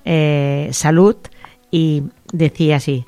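A woman hosting a radio interview, talking gently and encouragingly and repeating her phrases. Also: low voice, steady tone, slow. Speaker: radio host.

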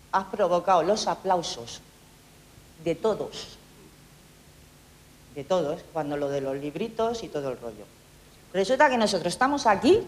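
A woman's voice: mid-range voice, a little mellow. Combative woman councillor in an echoing hall. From Catalonia, Spain. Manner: combative, sarcastic, full of rhetorical questions. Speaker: town councillor. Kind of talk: heated speech in a council debate.

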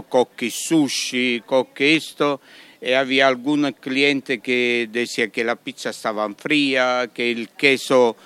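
A man with an Italian accent, a restaurant owner speaking Spanish in a radio interview, halting and slipping into Italian words. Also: medium pitch, fairly bright tone, clear, resonant tone, dry recording. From Italy.

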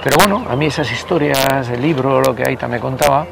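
A man, telling stories as an interview guest, hesitant with many pauses and restarts and some casual swearing. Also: medium pitch, creaky. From Basque Country. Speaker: interview guest, party member. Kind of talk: conversational storytelling interview. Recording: loud background noise.

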